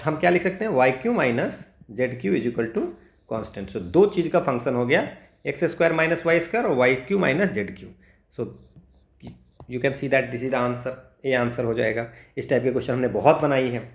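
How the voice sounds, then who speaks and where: Higher-voiced Indian male tutor, echoey room. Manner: informal, step-by-step, checks understanding with frequent tags. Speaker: maths teacher for exam preparation. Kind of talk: explanatory lesson mixing Hindi and English.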